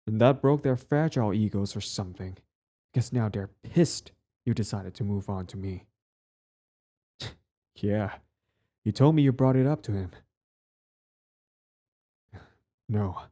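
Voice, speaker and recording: A man's voice: fairly low pitch, a little slow, long pauses, mellow. A man playing a cocky but easily flustered villain devoted to a date, in a casual, wry romantic audio roleplay. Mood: sheepish, exasperated, then bitter, scheming. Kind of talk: one-sided romantic audio roleplay, conversational.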